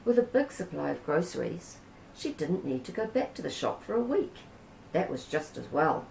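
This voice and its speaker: A woman with a New Zealand accent reading a children's picture book aloud in a gentle, unhurried way. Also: low voice, very animated, creaky, slight background noise. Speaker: storybook reader. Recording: roomy echo.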